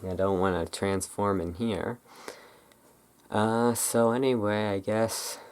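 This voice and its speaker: The voice sits very low and is thick with creak. It belongs to an ASMR host who talks informally, rambling and halting through a casual roleplay.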